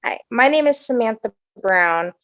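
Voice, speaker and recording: A woman from Oakland calling in to a public meeting from abroad, speaking earnestly and quickly. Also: fairly high pitch, lively intonation, a bit bright. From Oakland, California. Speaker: caller at public comment. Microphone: remote call audio.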